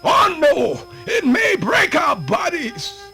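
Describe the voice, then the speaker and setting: Radio play lead: a centenarian American yarn-spinner, spirited and folksy, bright gravelly voice, dramatic drawn-out delivery. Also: male voice, very high voice, wildly swooping pitch, soft background music, heavily acted. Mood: fervent, proud. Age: older. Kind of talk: theatrical radio monologue, folksy oral storytelling.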